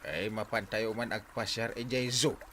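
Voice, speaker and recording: An actor with an Ilocano accent playing an easygoing village captain who laughs often in a radio drama. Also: male voice, fairly low pitch, steady tone, moderate pace, a bit bright, slight background noise, close to an everyday voice. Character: jovial middle-aged male village captain. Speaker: barangay captain.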